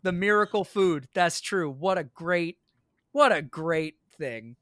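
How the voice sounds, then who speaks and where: A man's voice: fairly high pitch, animated, takes it slowly, fairly bright tone, no echo, clean studio sound. A man from New England chatting casually as a podcast guest, playful and teasing with mock seriousness. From New England, United States. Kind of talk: casual chat.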